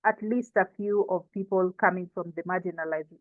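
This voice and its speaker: A woman's voice: on the low side, steady tone, a little fast, dry recording. A woman with a Kenyan accent, informally hosting and moderating an online session and introducing panelists. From coastal Kenya. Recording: thin phone-like online call audio.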